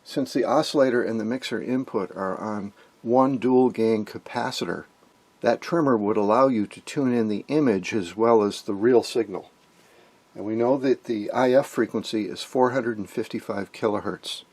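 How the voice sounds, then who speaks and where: A man giving an informal technical demonstration of vintage radio gear, explaining and pausing to point things out. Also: on the low side, darkish tone, no echo. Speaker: host, electronics hobbyist.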